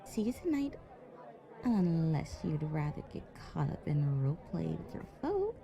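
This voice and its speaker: A woman's voice: sits low, wildly swooping pitch, normal speed, creaky, crowd noise, exaggerated voice. A woman playing a friendly, caring young pony schoolgirl in a fan-fiction audio drama. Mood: casual, friendly.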